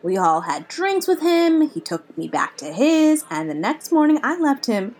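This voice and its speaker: An actress playing a brash, blunt comedian friend in a naturalistic audio drama, sarcastic, punchy and profane. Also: female voice, high-pitched, sing-song, measured pace, a little mellow, heavily acted. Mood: teasing, wry.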